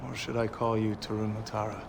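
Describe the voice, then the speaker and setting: A terse gravelly young fighter. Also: male voice, lowish voice, completely flat delivery, fairly quick, background noise. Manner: terse, plain-spoken. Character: young male guerrilla fighter. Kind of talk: video game cutscene dialogue.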